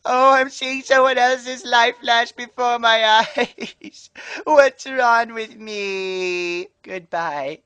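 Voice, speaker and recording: Doddering old cartoon mouse man, voiced by an actor, theatrical, slow, bright tone, close dry recording. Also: medium pitch, clear, resonant tone, no room sound. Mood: dying, muddled. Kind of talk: amateur comedy cartoon parody dub.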